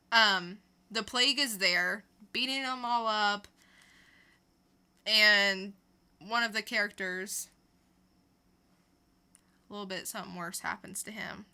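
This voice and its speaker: A woman with a very bright, forward voice and an unhurried pace. She co-hosts a podcast and recaps stories in casual, informal review chat. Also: mid-range voice, vocal fry. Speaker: podcast co-host.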